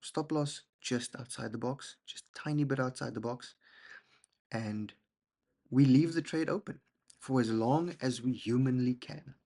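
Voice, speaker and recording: A young man, a husky voice and a rambling, self-deprecating manner, walking through trades and often checking in with "okay?". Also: medium pitch, unhurried, warm tone. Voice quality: gravelly, husky. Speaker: trading channel host. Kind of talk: casual screen-share trading walkthrough.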